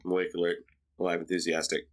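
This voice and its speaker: A man's voice: deep voice, level tone, quick pace. A young man co-hosting a podcast, sounding stuffy from a head cold, casual with dry jokes. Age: young adult. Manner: dry, joking, laid-back. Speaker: podcast co-host. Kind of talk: casual chat between brothers.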